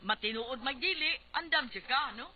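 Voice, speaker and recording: A woman's voice: sits high, animated, normal speed, crowd noise. A woman with a Cebuano accent co-hosting a casual radio show, chatting, greeting listeners and offering advice. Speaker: radio co-host. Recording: dull lo-fi radio recording, faint noise.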